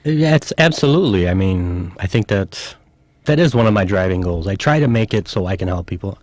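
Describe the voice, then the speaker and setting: A man from Chicago speaks as a guest on a radio show, recalling his past in an earnest, reflective way. His voice sits fairly low, and it sounds dry and close to the mic. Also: expressive, brisk, a little mellow, no echo. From northwest side of Chicago, USA. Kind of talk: radio interview.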